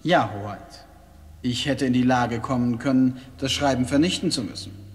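Composed male military courier played by an actor, deferential and formal, medium pitch, audio drama with long reverb. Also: normal speed, lightly acted. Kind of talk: German audio drama acting.

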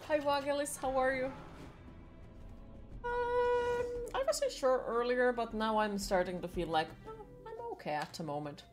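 A woman's voice: high voice, big pitch swings, a little slow. A young woman with a Finnish accent, chatting playfully with her viewers while streaming a game from a small room at home, swearing casually. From Finland. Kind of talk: casual chat with chat while gaming. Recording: faint game music behind.

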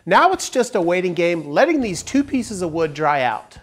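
A man in his forties talks through a woodworking project step by step, upbeat and clear. His pitch swings lively from line to line, with soft music playing faintly behind him in a big indoor workshop. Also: moderate pace. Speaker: woodworking channel host. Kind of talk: workshop tutorial narration. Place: large wooden workshop, indoors.

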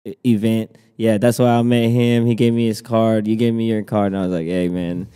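A man's voice: fairly low pitch, little pitch movement, a little fast, smooth. Laid-back young man, roomy reverb. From Philippines. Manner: laid-back, laughs often, gives shout-outs. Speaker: guest rapper. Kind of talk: casual podcast chat. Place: small office studio room. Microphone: desk mic on stand.

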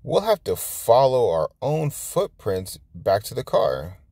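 A man's voice: medium pitch, huge pitch swings, takes it slowly, gravelly, dark tone, breathy edge, no echo, pitched above the performer's own voice, heavily acted. A man voicing a jolly, easygoing father pig from a children's storybook, hearty and stretched-out in delivery. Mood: matter-of-fact.

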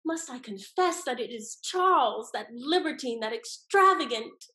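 A woman acts a haughty lady schemer from a period comedy. Her voice sits high and swoops widely. She speaks with arch, theatrical relish, drawing out her emphasis. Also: expressive, unhurried, sharp tone. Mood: dramatic confession. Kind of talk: period stage comedy acting. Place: indoor room, performing on video call. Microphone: video call.

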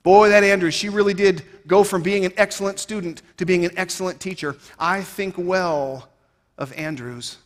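A man's voice: sits high, normal speed, natural voice. Guy putting on a fond old professor praising a former student, big hall echo. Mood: admiring. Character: admiring old college professor, male, proud. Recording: reverberant large hall.

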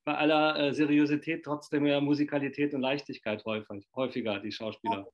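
A man's voice: on the high side, little pitch movement, fast, lo-fi sound. A middle-aged man from Germany interviewing an actress over a video call, talking in a rambling, chatty way full of fillers. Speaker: interviewer and magazine editor. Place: living room at home. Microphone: video call webcam.

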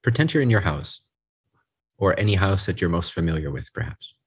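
A man leading a guided group meditation, speaking in a soothing, unhurried way in short phrases with pauses. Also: deep voice, animated, slightly rough.

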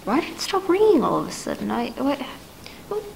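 Frantic, haughty housewife played by a young woman, very high swooping voice, stammering melodrama, noisy amateur stage recording. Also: big pitch swings, a little fast, vocal fry, a little mellow, heavily acted. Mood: fearful. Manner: breathless, stammering, indignant and panicky. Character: frantic, imperious, nervous invalid wife on the telephone. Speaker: amateur actor in a stage adaptation of a radio play. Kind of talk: 1940s radio melodrama acting. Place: dark stage, indoors.

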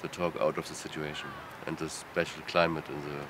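A man's voice: very deep voice, flat delivery, fairly quick. A man speaking thoughtfully in an interview on a city rooftop, hesitating often with fillers, traffic noise behind him. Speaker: lecturer. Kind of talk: reflective interview answers. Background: city street sounds.

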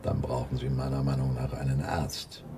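Very gravelly, stern priest. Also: male voice, extremely low pitch, completely flat delivery, moderate pace, noisy background. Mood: stern, impatient. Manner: brusque, reproving. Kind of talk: radio drama dialogue.

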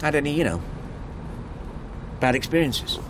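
A man's voice: fairly high pitch, big pitch swings, normal speed. A man acting a chatty, probing motorist in a naturalistic radio drama, speaking hesitantly over car noise. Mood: awkward, probing. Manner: hesitant, probing small talk. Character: chatty, probing male motorist, insurance assessor. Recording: clear vehicle noise around the voice. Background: car engine and road ambience.

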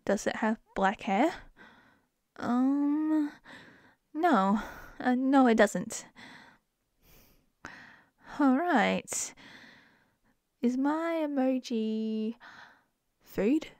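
A woman's voice: high voice, lively intonation, very slow, long pauses, a little mellow, no room sound. A woman with an Australian accent in a close-mic ASMR roleplay as a wife, playful and giggly.

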